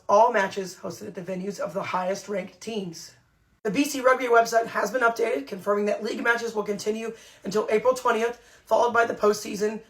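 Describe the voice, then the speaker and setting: Female podcast host, hoarse, brisk scripted news delivery. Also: medium pitch.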